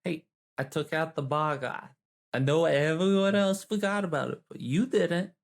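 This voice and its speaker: Higher-voiced gravelly male game player. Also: normal speed. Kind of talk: casual, joking chat.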